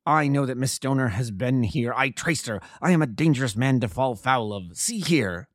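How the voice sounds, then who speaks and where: A man's voice: mid-range voice, animated, normal speed, no room sound, clean studio sound, close to an everyday voice. A man voicing a furious, menacing old doctor, a huge bully who snarls and blusters his demands in an audiobook story. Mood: threatening.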